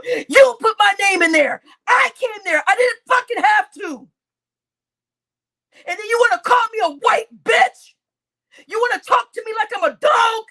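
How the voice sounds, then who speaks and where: A woman livestreamer is on a tearful, furious rant, her voice very high and shrill. She shouts and swears without pause, going back over the same complaints, and the voice cracks into a gravelly fry. It all sounds raw and unscripted. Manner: shouting, heavy swearing, repetitive outbursts. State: crying. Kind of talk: angry livestream rant to chat.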